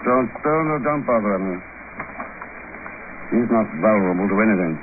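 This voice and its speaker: An actor in a 1950s radio drama playing a shrewd, wry secret agent with an understated, controlled delivery. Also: male voice, on the low side, lively intonation, normal speed, noisy background, lightly acted. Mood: dry, suspicious. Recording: tinny old radio recording, music behind.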